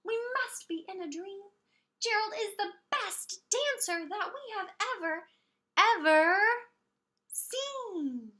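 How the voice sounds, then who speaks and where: A woman's voice: extremely high pitch, animated, takes it slowly, pushed and bright, pitched above the performer's own voice, heavily acted. A woman voicing amazed jungle animals in a picture book, exclaiming with awe. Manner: exclaiming, awestruck.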